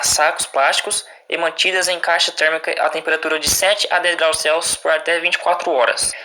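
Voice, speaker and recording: A Brazilian man reads a formal academic text aloud in a bright, slightly high voice. His delivery is recited, like a student presenting, with the odd stumble. The sound is dry and close, with little room in it. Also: no echo.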